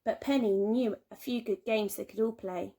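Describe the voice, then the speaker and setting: A woman teacher reading a children's storybook aloud with expressive, dramatic emphasis and drawn-out words. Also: medium pitch, gravelly. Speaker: primary school teacher.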